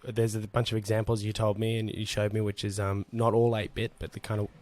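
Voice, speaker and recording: A man hosting a casual online chat with artists, relaxed and rambling, with many fillers and restarts. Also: on the low side, a little fast. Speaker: host.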